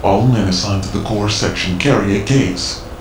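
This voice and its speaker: An actor playing a cool, commanding ninja informant in a video game cutscene, speaking in a measured, knowing, clipped way. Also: male voice, fairly low pitch, background noise, natural voice. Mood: stern, cool. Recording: echoing voice with music behind.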